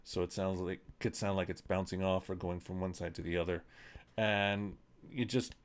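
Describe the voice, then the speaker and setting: Rambling man with a low voice. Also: little pitch movement, normal speed. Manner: loose and rambling, many ums and uhs, self-deprecating. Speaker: musician showing his vocal mixing. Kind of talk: casual screen-recorded tutorial. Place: home studio room.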